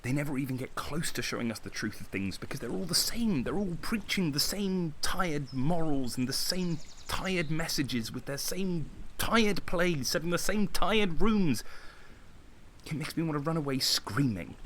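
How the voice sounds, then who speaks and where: An actor playing a bitter, insecure young man in a naturalistic audio drama, speaking intensely with dramatic pauses. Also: on the high side, animated, fairly bright tone, slight background noise. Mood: bitter, impassioned. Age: young adult. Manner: intense, agitated, self-pitying, with dramatic pauses. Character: young man, Konstantin, bitter, insecure, impassioned would-be artist.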